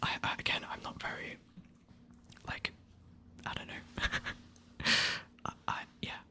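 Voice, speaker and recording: An ASMR creator whispering answers to subscriber questions in a soft, hesitant, apologetic way. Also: slow.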